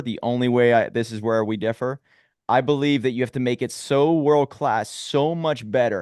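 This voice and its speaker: A man's voice: mid-range voice, clear, resonant tone, no echo, clean studio sound. A man hosting a podcast interview, earnest and opinionated as he lays out his views. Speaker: podcast host. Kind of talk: conversational interview.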